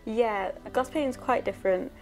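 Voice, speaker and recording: A young woman, a glass painter, explaining her technique softly and a bit hesitantly in a workshop. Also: a little high, normal speed. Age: young adult. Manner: soft, mellow and a bit hesitant. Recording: faint music bed underneath.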